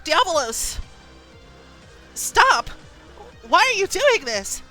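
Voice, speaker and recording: A narrator putting on a desperate, high-pitched pleading girl. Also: sing-song, takes it slowly, bright, forceful tone, faint music behind, heavily acted. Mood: alarmed, defiant. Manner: urgent, emotional pleading. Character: desperate, devoted girl pleading for her horse.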